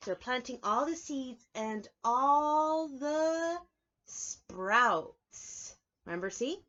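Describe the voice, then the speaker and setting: A woman preschool teacher reading aloud and explaining things to young children, clearly emphasizing key words in an instructive way. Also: sits high, lively intonation, drawn-out words, fairly bright tone, dull audio. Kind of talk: children's read-aloud lesson with explanations and questions.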